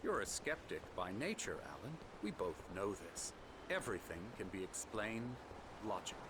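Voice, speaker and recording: An actor playing a smug, manipulative middle-aged psychiatrist in a video game, with a pompous, patronizing manner. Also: male voice, fairly low pitch, very animated, moderate pace. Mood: patronizing persuasion. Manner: pompous, patronizing, smooth-talking. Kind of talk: dramatic video game dialogue. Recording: loud ambient noise behind.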